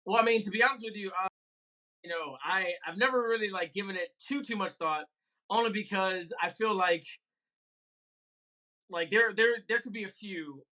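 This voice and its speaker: A man's voice: high voice, moderate pace, long pauses, pushed and bright, rough recording. A man on a casual podcast, answering in a hesitant, thoughtful way with many fillers.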